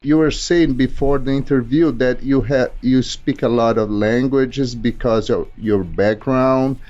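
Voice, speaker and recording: A man hosting a podcast interview, asking measured, drawn-out questions. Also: mid-range voice, a little mellow, dull audio. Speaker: podcast host.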